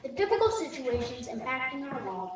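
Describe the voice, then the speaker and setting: A child contestant giving a rehearsed motivational speech in an earnest, deliberate way. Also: high voice, big pitch swings. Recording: echoey, distant mic with faint noise.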